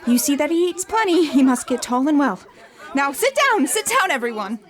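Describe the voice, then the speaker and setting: A woman plays a bustling mother in a radio play, fussing over her family. Her voice is very high, and she talks in a motherly, fussing way. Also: expressive, moderate pace, a faint crowd. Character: warm, bustling working-class mother. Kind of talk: student radio drama acting.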